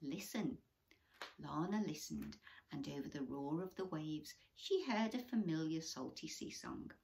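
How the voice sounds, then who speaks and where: A woman's voice: low voice, expressive, normal speed, gravelly, darkish tone. A woman reading a children's bedtime story aloud expressively, with little asides to young listeners.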